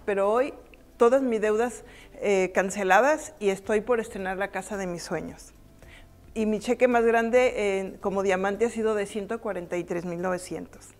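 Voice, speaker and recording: A woman's voice: medium pitch, a little mellow. A middle-aged woman with a Mexican accent, giving a heartfelt testimonial on a lapel mic. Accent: Mexican Spanish. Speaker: company testimonial speaker.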